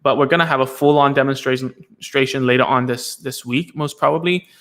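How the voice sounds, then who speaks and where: A man's voice: mid-range voice, fairly even intonation, quick pace, a bit bright. A man hosting a casual live stream Q&A as a speech therapist, talking loosely with many fillers and restarts.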